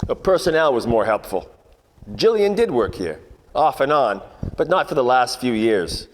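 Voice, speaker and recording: A man's voice: mid-range voice, moderate pace, slight background noise, close to an everyday voice. Man playing a wry hardboiled detective, hall reverb. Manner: wry, colloquial, deadpan asides. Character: world-weary wisecracking 1940s private detective. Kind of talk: hardboiled noir radio-play narration and dialogue.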